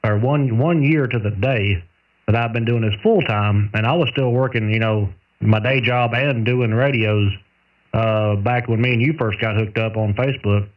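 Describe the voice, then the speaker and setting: A man's voice: fairly low pitch, normal speed. Southern guy on cb radio, real thin squawky signal, kinda far off, folksy rambling chat. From southern United States. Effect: two-way radio transmission. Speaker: amateur radio operator, radio technician. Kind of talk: casual two-way radio chat. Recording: narrow tinny single-sideband CB radio, distant.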